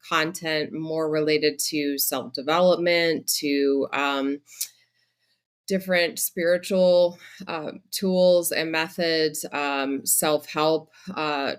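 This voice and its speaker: A woman guest on a podcast, speaking in a measured, reflective way about her work with grief, with frequent pauses and ums. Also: low voice, smooth. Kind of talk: conversational interview answers.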